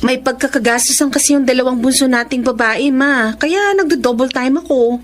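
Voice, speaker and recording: A woman's voice: high voice, normal speed, lightly acted. An actress playing a bossy but caring 25-year-old businesswoman in a Filipino radio-style drama, speaking emphatically with a Filipino accent. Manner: emphatic, scolding or boasting, mixes English into Tagalog.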